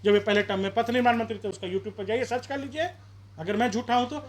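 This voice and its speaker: A man from Bihar, an election candidate, arguing forcefully in a street interview with rhetorical questions and campaign appeals. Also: sits high, quick pace. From Bihar, India.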